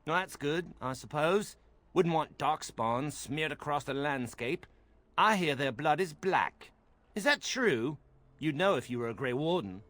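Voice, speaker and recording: An actor playing a petulant, sarcastic templar guard in a video game, pompous and mocking in manner. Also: male voice, a little high, expressive, a little slow, fairly bright tone, dry recording. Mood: smug.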